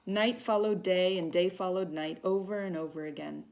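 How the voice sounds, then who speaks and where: A woman volunteer reads a children's picture book aloud in an expressive, storytelling manner. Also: fairly low pitch, normal speed. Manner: dramatic emphasis on feelings, with short asides to young listeners.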